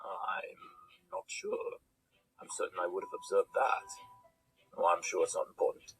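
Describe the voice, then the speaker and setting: A man's voice: sits low, a little slow, soft background music, rough recording. A man playing an aloof pony detective in an audio drama, formal, dry and self-assured as he acts and reads credits. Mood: dryly formal.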